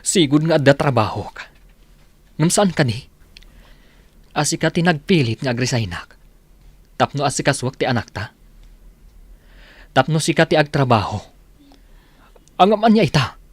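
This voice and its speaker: An actor playing an aggrieved husband who pleads with his wife, with a husky voice, in a radio drama. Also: on the high side, sing-song, normal speed, long pauses, no echo.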